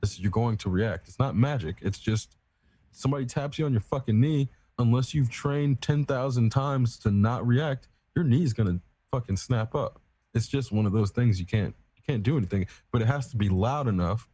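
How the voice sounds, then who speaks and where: A man's voice: mid-range voice, moderate pace, mellow, slightly rough, no room sound. A man in casual chat, explaining at length in a rambling, profane, self-assured way. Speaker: podcast co-host.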